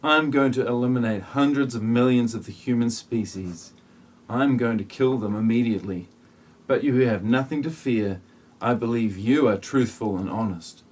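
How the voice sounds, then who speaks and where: A man playing a solemn, grandiose messianic figure who judges humanity, speaking in a husky, stilted, portentous lecturing manner. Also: mid-range voice, normal speed, darkish tone, close to an everyday voice. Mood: cold, grandiose.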